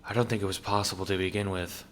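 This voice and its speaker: A man's voice: deep voice, little pitch movement, fast talker, voice pushed down, lightly acted. A man voicing a blunt, matter-of-fact female messenger creature in an audio drama, plain and detached. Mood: dismissive. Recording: long hall-like reverb.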